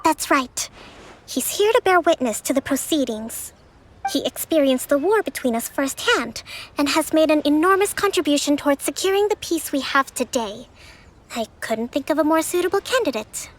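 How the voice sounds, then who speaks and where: A woman voicing a composed young priestess and strategist in a game cutscene, measured and polite. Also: sits high, lively intonation, a little hiss or hum. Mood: firm. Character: calm young female priestess-strategist, diplomatic.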